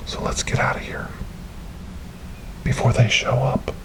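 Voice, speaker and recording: Slow, whispering, gentle devout rescuer played by a man. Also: natural voice, poor audio. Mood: reassuring, solemn. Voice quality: soft, breathy, whispery. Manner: slow, soothing, reassuring. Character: gentle, devout male rescuer coaxing a lost ghost girl. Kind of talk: ASMR storytelling roleplay.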